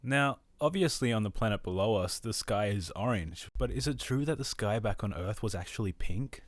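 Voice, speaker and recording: A man's voice: on the low side, animated, moderate pace, no room sound, lightly acted. A man playing an earnest amateur radio host and Earth enthusiast, presenting casually in an audio drama.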